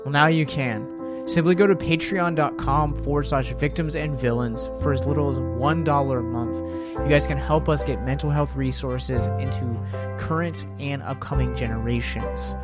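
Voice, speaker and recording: A man's voice: medium pitch, fairly even intonation, moderate pace. A man reading a scripted promotional appeal to listeners as a podcast announcer. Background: loud guitar music.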